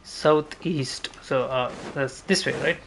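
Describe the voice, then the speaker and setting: A man giving casual, unhurried gameplay commentary, thinking aloud as he goes. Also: medium pitch, background noise. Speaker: game streamer. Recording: game music behind voice. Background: video game music.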